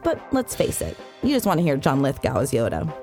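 A woman's voice: very deep voice, wildly swooping pitch, a little fast, darkish tone, soft background music. A woman hosting a podcast, reading scripted narration with wry asides into a close microphone. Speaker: podcast host. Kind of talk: scripted narration of science fiction history.

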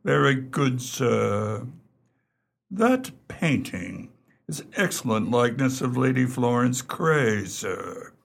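Unflappable formal valet in a radio drama, unhurried, gravelly, super polite. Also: male voice, mid-range voice, lively intonation, full character voice. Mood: formal, composed. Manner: deferential, measured, formal, understated. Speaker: valet character in dialogue. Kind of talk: radio play acting.

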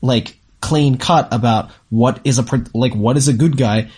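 A man's voice: mid-range voice, moderate pace, darkish tone, husky. A young man co-hosting an anime show, explaining his views in casual, emphatic conversation. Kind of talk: casual chat, discussing anime.

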